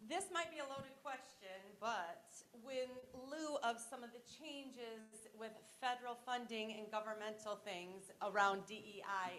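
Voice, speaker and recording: Audience asker, female, big bright voice, faint room noise. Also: mid-range voice. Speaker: audience member.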